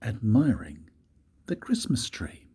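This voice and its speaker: An older man, a grandfather, reading a children's story aloud in a husky, breathy voice with expressive, dramatic pauses. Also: on the low side. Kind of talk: storybook read-aloud narration.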